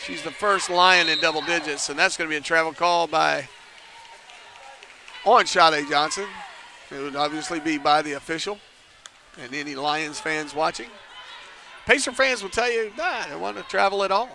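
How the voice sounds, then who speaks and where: A man calling a basketball game live from an indoor arena, chatty, making joking asides about himself and rising to near shouts on big plays. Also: fairly high pitch, moderate pace, gravelly, long pauses, a crowd in the background. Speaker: play-by-play commentator.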